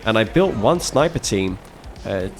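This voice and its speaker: A young man giving casual, animated play-by-play commentary on a strategy match he played, with frequent fillers and restarts. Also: on the low side, a little slow. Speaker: video game caster commentating his own match.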